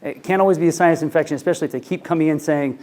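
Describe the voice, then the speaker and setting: A man's voice: on the high side, racing, darkish tone. A man in his forties, an ENT physician giving a conversational lecture to clinicians, speaking into a handheld microphone in a conference room. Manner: conversational, anecdotal, frequent fillers.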